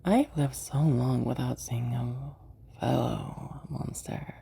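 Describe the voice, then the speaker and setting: A woman's voice: extremely low pitch, takes it slowly, creaky, warm tone, slightly rough, full character voice. A woman playing a rich, playful and menacing young sociopath in an intimate audio roleplay, teasing and drawling. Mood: quietly menacing, teasing.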